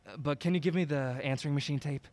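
A man's voice: a little high, fast talker, a little mellow, natural voice. Man acting an earnest young amateur sleuth. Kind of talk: audio drama acting.

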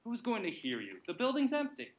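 A man's voice: very high voice, wildly swooping pitch, brisk, roomy sound, background noise, exaggerated voice. A man acting a glib, playful, reassuring character named Grant in a theatrical old-time radio-style drama, with wry banter.

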